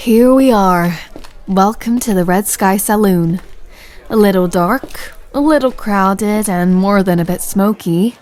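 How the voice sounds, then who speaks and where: A woman's voice: medium pitch, unhurried, darkish tone, lightly acted. A woman playing a tender but commanding female pirate captain in an intimate audio roleplay, with a stage pirate accent and teasing sailor slang. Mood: inviting. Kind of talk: scripted roleplay audio, intimate second-person address.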